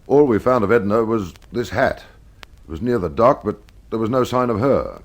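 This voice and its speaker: A man's voice: lowish voice, expressive, normal speed, voice pushed up. A man playing a distraught author husband giving an urgent, gasping account in a narrated radio mystery.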